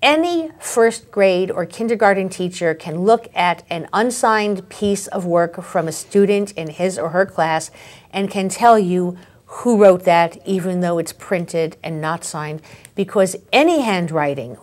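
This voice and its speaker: Articulate woman explaining clearly. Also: lowish voice, a little slow. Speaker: author and handwriting expert, guest. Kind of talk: interview conversation.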